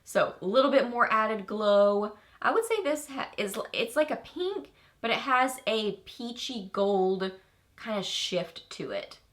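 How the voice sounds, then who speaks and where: A woman with a Texan accent chatting through a casual makeup review, bubbly and easily excited, with rambling asides. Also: on the high side, a little slow. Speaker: beauty vlogger.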